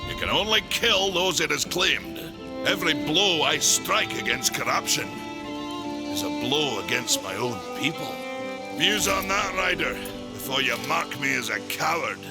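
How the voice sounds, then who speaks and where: An actor playing a gruff, proud old warrior of a fantasy maker race in a video game, wry and challenging. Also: male voice, on the high side, animated, unhurried. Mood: defiant. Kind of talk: dramatic fantasy game acting. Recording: loud music behind the voice.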